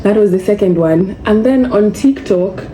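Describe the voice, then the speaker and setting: A woman's voice: mid-range voice, normal speed, dark tone. A young woman from Kenya with a Kenyan accent, telling stories in a chatty vlog and slipping into Swahili slang. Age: young adult. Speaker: vlogger. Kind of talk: casual storytelling vlog. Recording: echoey room with faint noise.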